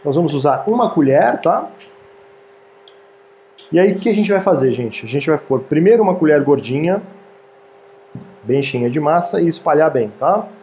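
A man's voice: fairly high pitch, expressive, moderate pace, long pauses, darkish tone. A man in his thirties hosting a casual cooking demonstration in a small kitchen, chatty and friendly, often checking in with viewers. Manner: friendly, chatty, keeps asking "tá, gente?". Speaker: cooking show host. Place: small home-style studio kitchen, indoors.

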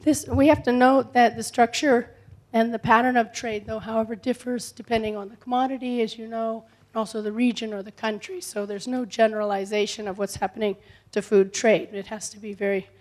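A woman speaking as a conference panelist, hoarse from a cold, answering in a measured way and often clearing her throat. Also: fairly high pitch, steady tone, darkish tone, poor audio. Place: conference hall.

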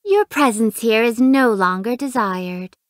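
A woman's voice: fairly high pitch, a little slow, no echo, studio quality, lightly acted. A woman voicing a haughty, refined rich young lady in a fighting game, with a prim, imperious, theatrical delivery. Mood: haughty, composed. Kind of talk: video game fight voice clips.

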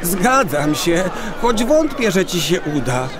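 An actor playing a generous but sceptical king, speaking in a stately, drawn-out way in an audio fairy tale. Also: sits high, very animated, crowd noise, heavily acted. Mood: doubtful.

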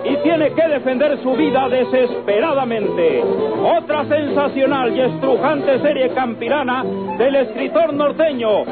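A man's voice: very high-pitched, measured pace, a loud crowd. A man with a Mexican accent dramatically announcing an old radio serial from a script. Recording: tinny old radio recording. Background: loud music.

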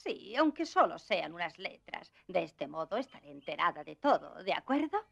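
An actress playing a kind, gentle old grandmother in a dubbed children's cartoon, reassuring and affectionate. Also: on the low side, sing-song, moderate pace, gravelly, highs cut off, heavily acted. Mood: tender.

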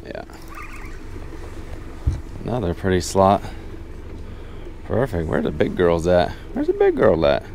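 A man's voice: low-pitched, huge pitch swings, measured pace, creaky, pauses often, mellow. An American man giving chatty, folksy first-person fishing commentary to his viewers, recorded outdoors on a boat. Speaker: fishing vlogger. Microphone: action camera worn on body. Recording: outdoor noise on open boat.

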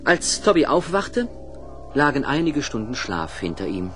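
Lively male storyteller with expressive intonation. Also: mid-range voice, a little slow, faint music behind. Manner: storytelling, clearly phrased. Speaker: narrator. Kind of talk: scripted children's story narration.

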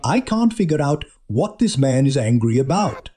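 Wry lively mellow voice-over narrator. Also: medium pitch, moderate pace, breathy edge. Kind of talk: voice-over commentary.

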